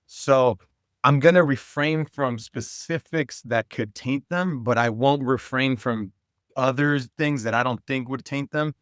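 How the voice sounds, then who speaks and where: A man's voice: medium pitch, a little slow, no room sound, clean studio sound. A man from Southern California telling personal stories as an interview guest, in an informal and earnest conversational way. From Santa Ana, California. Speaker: interview guest, youth soccer advocate.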